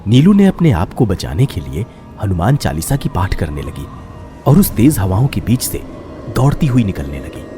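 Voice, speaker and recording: Animated male horror-story narrator. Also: deep voice, normal speed, faint music behind. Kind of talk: dramatic scripted horror storytelling.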